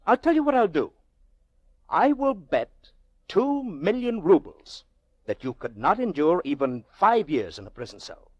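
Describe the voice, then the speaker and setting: A man playing a haughty, very wealthy middle-aged gentleman in an old-time radio drama, speaking in pompous rhetorical flourishes with drawn-out emphasis. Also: high voice, big pitch swings, husky, dry recording, muffled recording, heavily acted. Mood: daring. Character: haughty, very wealthy Russian gentleman, goading and theatrical.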